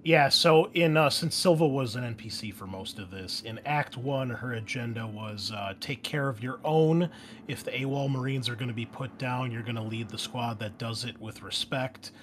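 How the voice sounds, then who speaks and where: A man's voice: mid-range voice, normal speed. A man acting as game master and host, chatting casually as he walks players through a post-game debrief.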